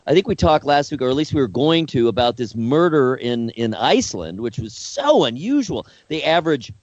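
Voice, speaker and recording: A man's voice: medium pitch, sing-song, no echo. Man cohosting a podcast, stops and repeats himself a lot, earnest, muffled dry sound. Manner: halting, repeats words, earnest. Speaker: podcast co-host. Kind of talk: casual discussion.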